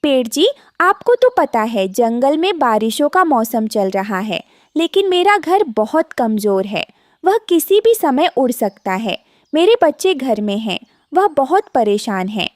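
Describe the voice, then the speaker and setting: A woman voicing a gentle, worried young mother bird in a cartoon, earnest and plaintive. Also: high voice, big pitch swings, measured pace, no room sound, clean studio sound. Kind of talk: Hindi cartoon voice acting.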